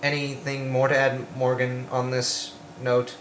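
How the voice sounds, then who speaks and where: A man with a medium-pitched voice hosts a casual comedy interview. The sound is roomy, with faint noise behind the voice. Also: completely flat delivery, a little slow, a bit bright. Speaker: host of a comedy interview skit. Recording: echoey room with faint background noise.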